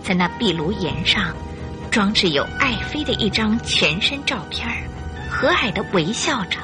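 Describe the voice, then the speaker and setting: A woman's voice: medium pitch, sing-song, moderate pace, over music. A woman narrating a radio drama in a dramatic, scripted storytelling style.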